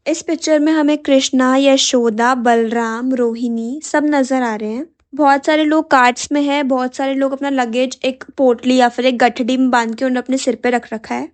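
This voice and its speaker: A young woman teaching an exam-prep lesson with a hoarse, sore voice, chatting directly to her students in a mix of Hindi and English. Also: high voice.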